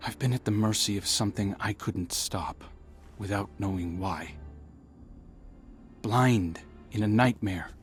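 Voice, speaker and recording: An actor playing an earnest young male fighter who reflects on a hard choice. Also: lowish voice. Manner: earnest, reflective, quietly defiant. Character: young male guardian, earnest and guilt-stricken. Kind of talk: video game cutscene dialogue. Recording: game score behind.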